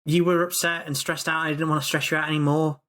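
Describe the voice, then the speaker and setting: A man's voice: on the high side, flat delivery, fast, a bit bright. A man playing in a tabletop game, speaking as his character with hesitant, tumbling explanations. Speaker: player.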